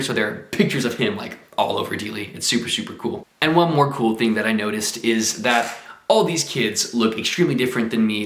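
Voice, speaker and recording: A man's voice: fairly low pitch, a bit bright. A man talking casually to camera in a travel vlog, chatty and enthusiastic with lots of exclamations. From United States. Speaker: travel vlogger. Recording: roomy echo.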